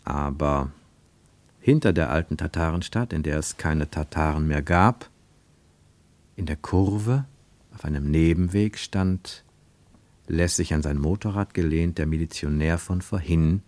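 A man's voice: sits low, a little mellow, no room sound. A man reading a literary story as a scripted narration.

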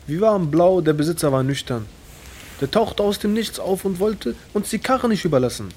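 A man's voice: high voice, expressive, normal speed, a little mellow, breathy edge. A man acting a streetwise young petty criminal in an audio drama, slangy, brash and blasé. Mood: casual, boastful.

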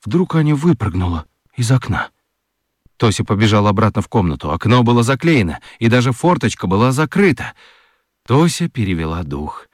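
A man narrating a children's radio play as an expressive storyteller. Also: lowish voice, brisk, no room sound, studio quality.

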